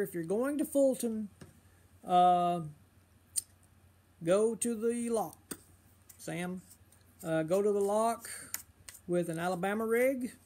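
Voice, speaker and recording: A middle-aged man, slightly hoarse, chatting casually on a fishing livestream and giving advice with lots of uh and um. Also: high-pitched, mellow. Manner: rambling, full of uh and um fillers.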